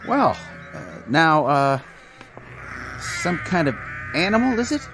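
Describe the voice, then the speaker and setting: A man's voice: on the high side, sing-song, slow. Nervous folksy townsman in a radio play, too cheery, hesitant, voice swooping around. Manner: hesitant, over-cheery, repetitive. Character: folksy, nervously agreeable small-town grocery man. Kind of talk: radio drama acting. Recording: music under the voice.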